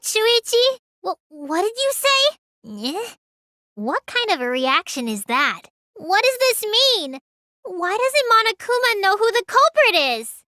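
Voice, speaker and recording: A woman voicing a small, childlike teenage girl mage in a video game, high and girlish, sulky and excitable with a whiny edge. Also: dry recording, studio quality, full character voice. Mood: startled, confused. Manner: whiny, stammers when startled. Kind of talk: English game dub voice lines.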